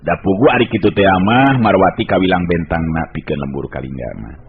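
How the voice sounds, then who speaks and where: A man's voice: low voice, a little fast, husky. Sundanese male storyteller on tinny old radio. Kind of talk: dramatic Sundanese folk tale narration. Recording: narrow, hissy old radio recording.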